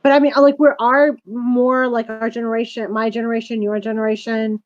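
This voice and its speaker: A woman's voice: on the high side, flat delivery, brisk. A woman hosting a casual chat, talking in long rambling turns full of asides. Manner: chatty, rambling with many asides. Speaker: host. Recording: dull lo-fi sound.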